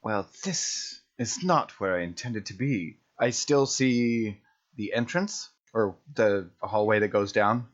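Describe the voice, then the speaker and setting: Male American tabletop player, lowish voice, casual and tentative, a little dull on the recording. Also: takes it slowly. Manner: hesitant, thinking aloud. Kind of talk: casual tabletop game chat.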